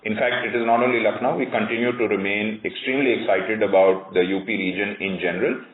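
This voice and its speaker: A man with a lowish voice speaks as a company executive on an earnings call. He is measured and careful in corporate phrasing. The sound is a thin, echoey conference phone line. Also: fairly even intonation, crowd noise. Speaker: company executive answering analysts. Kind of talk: earnings call question-and-answer.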